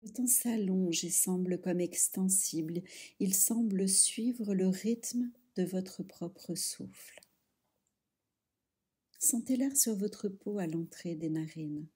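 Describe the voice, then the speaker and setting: A woman leading a guided yoga nidra relaxation, soothing and hushed, speaking in short instructional phrases with long pauses. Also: medium pitch, breathy edge.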